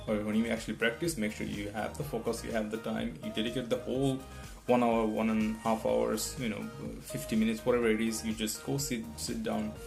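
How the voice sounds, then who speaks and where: A man's voice: lowish voice, little pitch movement, a little fast, gravelly, hardly any pauses. A man whose first language is not English gives rambling test-prep advice, restarting often and checking in with "right?". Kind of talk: casual advice talk to camera. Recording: guitar music in the background.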